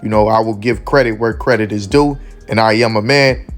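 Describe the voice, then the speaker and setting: Hoarse man giving earnest commentary. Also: fairly low pitch, steady tone, fairly quick, soft background music. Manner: earnest, repeats "man" often. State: hoarse, losing his voice. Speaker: host of a commentary channel. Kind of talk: casual commentary.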